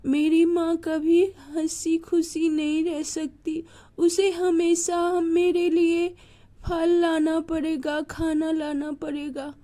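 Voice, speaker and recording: A woman's voice: very high voice, level tone, slow, mellow, pitched above the performer's own voice. A woman voicing a young, injured male bird child who is sad and self-sacrificing, speaking in a plaintive, earnest way for a storybook tale. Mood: sad, brooding.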